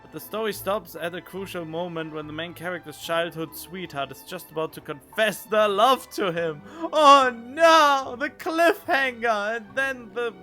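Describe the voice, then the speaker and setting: Bright, higher-voiced man streaming a game. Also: a little slow, hardly any pauses. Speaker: gaming streamer. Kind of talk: casual game commentary, reading dialogue aloud. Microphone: close studio condenser microphone. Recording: game music behind.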